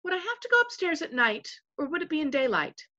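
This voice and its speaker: A woman's voice: sits high, sing-song, a little fast, sharp tone, muffled recording, pitched above the performer's own voice, exaggerated voice. A woman voicing a brave, plain-speaking young girl with an earnest, questioning manner in a storybook. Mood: puzzled.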